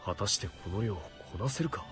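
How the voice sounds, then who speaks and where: A man's voice: fairly low pitch, animated, racing, over music, natural voice. A man voicing a stern, earnest young knight in a Japanese visual novel, speaking formally as he mutters to himself. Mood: dry, resolute.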